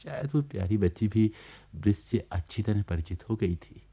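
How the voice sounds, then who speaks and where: An actor playing a genial, wry government officer laid up in bed, confiding in the listener as a radio play narrator. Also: male voice, lowish voice, lively intonation, normal speed, vocal fry, slightly rough, exaggerated voice. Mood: fond, amused. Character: genial bedridden government officer recounting his neighbours' life. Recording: dull archival radio recording.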